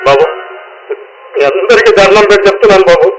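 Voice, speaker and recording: An actor playing a loyal, grieving household servant in a radio drama, with an emotional, pleading, outspoken delivery that repeats phrases. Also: male voice, high voice, animated, fast, rough recording. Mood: bitter, sarcastic. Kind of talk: Telugu radio drama acting. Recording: radio drama with music behind.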